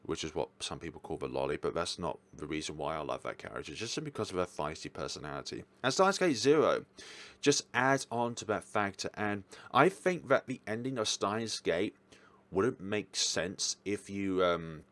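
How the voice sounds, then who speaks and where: Low-pitched man, rambling casual reviewer. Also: expressive, normal speed, fairly bright tone. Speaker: host reviewing visual novels. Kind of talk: casual chatty ranking commentary.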